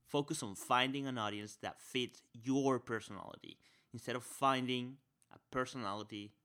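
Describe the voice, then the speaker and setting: A young man with a Spanish-tinged accent hosts a podcast. His voice sits low yet rings bright and forceful. He talks with energy, repeating his main points and checking in with "right?". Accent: Spanish-speaker's accent. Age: young adult. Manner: energetic, repeats key phrases, says "right?" often. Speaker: podcast host. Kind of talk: podcast interview and solo wrap-up.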